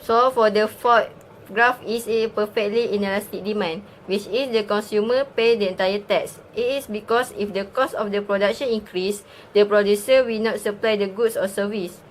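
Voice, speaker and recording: A woman's voice: medium pitch, fairly even intonation, normal speed, a little hiss or hum, low-quality recording. A young woman acting as a student, presenting and answering in class.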